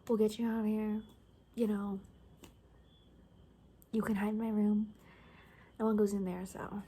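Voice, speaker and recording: A woman playing a gossipy, rambling zombie apocalypse survivor in a soft-spoken, whispery ASMR roleplay, talking hesitantly and conspiratorially. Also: medium pitch, level tone, unhurried, slight background noise, close to an everyday voice. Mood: conspiratorial, concerned.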